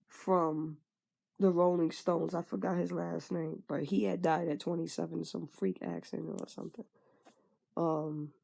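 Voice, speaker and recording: A woman's voice: fairly low pitch, gravelly, a little mellow. A woman in her twenties frankly venting in a candid personal monologue, swearing casually and trailing off with fillers. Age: 20s. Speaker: vlogger.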